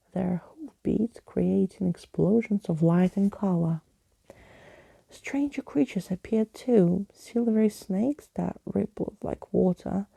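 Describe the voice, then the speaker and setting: A woman reading a storybook aloud in a soft ASMR style, hushed and lingering, often slipping into a whisper. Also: lowish voice, vocal fry, breathy edge, dry recording. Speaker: ASMR reader.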